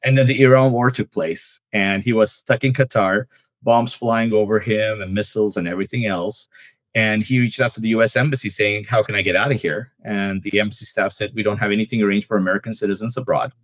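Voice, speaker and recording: Low-voiced man telling stories as a guest. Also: fairly even intonation, brisk, dry recording. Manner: storytelling with frequent "uh" fillers. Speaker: immigration attorney, podcast guest. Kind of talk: casual podcast interview.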